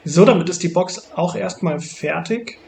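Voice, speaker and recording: Higher-pitched, creaky-voiced man narrating a build. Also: normal speed, a little mellow, a little hiss or hum. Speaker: maker narrating a build video. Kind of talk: casual tutorial voice-over.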